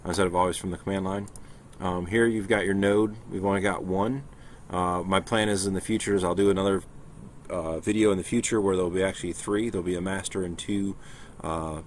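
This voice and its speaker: Casting: man, low register, informal tech tutorial presenter, hesitant think-aloud delivery, slight background noise. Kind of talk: casual screen-share walkthrough. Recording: clear steady background noise.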